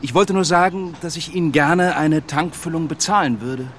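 An actor playing a polite, timid and awkward homeowner, speaking hesitantly in an audio drama. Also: male voice, on the high side, normal speed, fairly bright tone, slight background noise, lightly acted. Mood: polite, friendly. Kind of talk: naturalistic radio drama dialogue.

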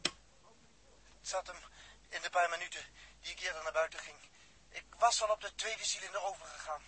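An actor playing a pensive, stoic astronaut in a 1960s radio drama, reflective and measured with theatrical delivery. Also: male voice, high voice, sharp tone, a little hiss or hum, rough recording. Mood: resigned.

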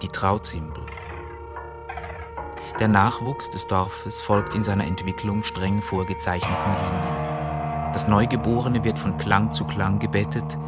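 Guy with a lowish voice doing solemn lecture style radio narration, measured and scholarly, music really loud behind him. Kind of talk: scripted mock-documentary lecture narration for an experimental radio play. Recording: loud music bed under the voice. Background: prominent instrumental music.

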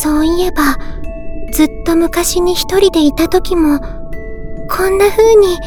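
A woman playing a sweet, bubbly young newlywed mermaid, telling her own story in an expressive Japanese audio drama style. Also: very high-pitched, a little slow. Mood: drowsy, fading. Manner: cutesy, expressive first-person narration with exclamations. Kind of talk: Japanese audio drama monologue, game tie-in. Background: music.

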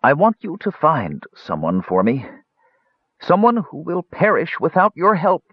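A man playing a desperate, stubborn old wizard in an audiobook, speaking slowly and urgently in a strained, labored voice. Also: medium pitch, big pitch swings, vocal fry, breathy edge, no echo, lo-fi sound, voice pushed up, full character voice. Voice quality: strained, strangled.

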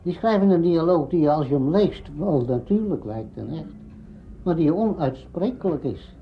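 A dull archive interview recording of a man. His voice sits fairly high and swings animatedly in pitch while he sets out his opinions in deliberate, separate phrases. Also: animated, slight background noise. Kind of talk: spontaneous interview commentary.

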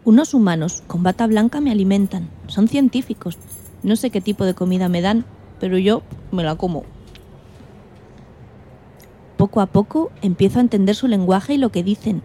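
A woman's voice: mid-range voice, a little fast, slight background noise, natural voice. A woman playing an indignant, timid male guinea pig who tells his story expressively in a children's radio play. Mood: curious. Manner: expressive, exclamatory, plaintive.

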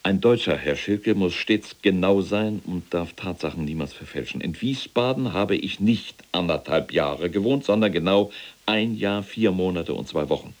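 An actor playing a pompous, pedantic middle-aged SS general in a German radio play, husky and haughty with clipped delivery. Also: male voice, deep voice, normal speed, close to an everyday voice. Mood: stern, pedantic.

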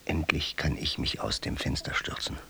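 An actor in a German radio play, playing a middle-aged, world-weary rich man with a husky voice and a languid, musing manner. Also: a bass voice, normal speed, whispered. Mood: tender, resigned.

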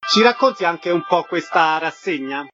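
A man's voice: fairly high pitch, expressive, moderate pace, bright, forceful tone, lo-fi sound, soft background music. A man working as a radio interviewer, putting questions to a guest.

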